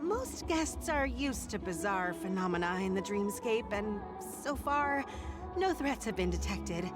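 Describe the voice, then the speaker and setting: An actress voicing an earnest, deferential young security officer in a video game, with an eager manner. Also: female voice, mid-range voice, lively intonation, measured pace, over loud music, natural voice. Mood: official, calm. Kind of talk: scripted video game dialogue.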